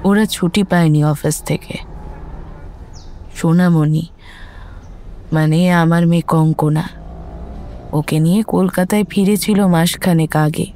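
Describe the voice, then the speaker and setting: A woman's voice: fairly low pitch, steady tone, slow pace, long pauses, dark tone, a little hiss or hum. A woman playing a composed, forthright grandmother in her seventies in an audio drama, explaining things firmly. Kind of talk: dramatised audio story acting.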